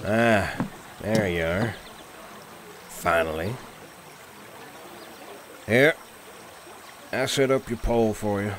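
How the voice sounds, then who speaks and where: Man acting a gruff slow-talking grump, lively pitch. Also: a little hiss or hum. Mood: grudging. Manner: grumbling, curt. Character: gruff, bossy man, secretly soft-hearted. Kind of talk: roleplay audio drama acting.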